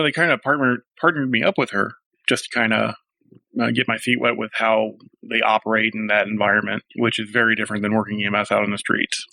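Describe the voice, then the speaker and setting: Man, thirties, gravelly low voice, dry self-deprecating guest, dry close sound. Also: pushed and bright, dry recording, rough recording. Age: 30s. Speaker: podcast guest. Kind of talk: casual interview conversation.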